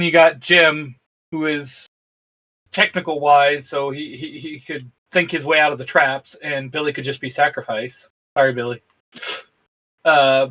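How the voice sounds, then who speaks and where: Bright-voiced joking man. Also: a little high, rough recording. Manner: joking, swearing, thinks aloud. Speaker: co-host. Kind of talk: casual chat among friends.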